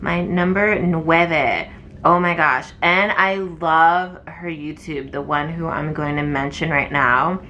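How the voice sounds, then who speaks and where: A woman's voice: deep voice, takes it slowly, pushed and bright, lo-fi sound, a little hiss or hum. A young woman, a nursing student, chatting casually to camera with lots of fillers, her voice wearing thin from talking. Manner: chatty and rambling, many fillers. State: losing her voice.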